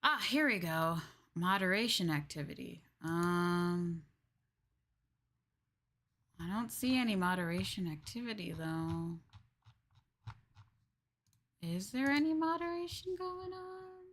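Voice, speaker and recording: A woman's voice: medium pitch, expressive, takes it slowly, long pauses, a bit bright, slight background noise. A woman livestreaming a game with casual commentary, chatting to viewers and rambling as she thinks aloud. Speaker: livestreamer playing a farming game.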